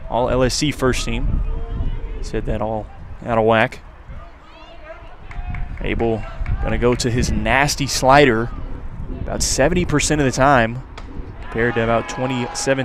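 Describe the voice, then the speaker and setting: An American man announces a baseball game live on the radio. His voice sits at a middle pitch, delivering play-by-play commentary. Outdoor ambient noise is audible behind him. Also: steady tone, moderate pace. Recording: clear background noise from outdoor ballpark.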